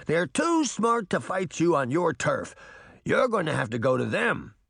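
A man's voice: fairly high pitch, sing-song, a little slow, gravelly, a bit bright, husky, dry recording, clean studio sound, voice pushed up, heavily acted. A man voicing a blunt, earnest guardian spirit in an audiobook, giving emphatic, grim warnings. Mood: cautioning. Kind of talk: audiobook character voice.

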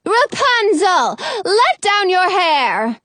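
A woman's voice: on the high side, very animated, fairly bright tone, no echo, full character voice. A woman voicing an angry, bossy old witch who hollers threats and commands in a storybook. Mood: calling out, commanding. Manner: hollering commands, drawn-out calls.

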